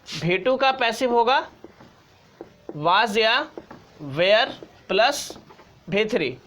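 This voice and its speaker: A man's voice: high voice, lively intonation, drawn-out words, a bit bright, a little hiss or hum. A man giving an informal tutorial as a teacher, explaining step by step and repeating key points. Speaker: grammar teacher.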